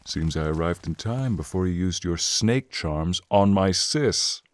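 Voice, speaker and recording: A man as a low, gruff dragon brother. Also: animated, unhurried. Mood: threatening. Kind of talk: ASMR roleplay.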